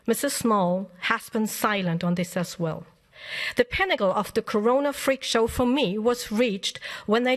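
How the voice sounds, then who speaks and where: A woman member of parliament reading a prepared press conference statement in a firm, measured way. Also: medium pitch.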